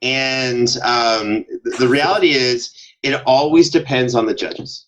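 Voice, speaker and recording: A man talking as a podcast guest in an emphatic, expressive and enthusiastic way, in casual interview conversation. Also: mid-range voice, unhurried, rough recording. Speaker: podcast guest, show producer. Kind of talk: animated casual interview talk.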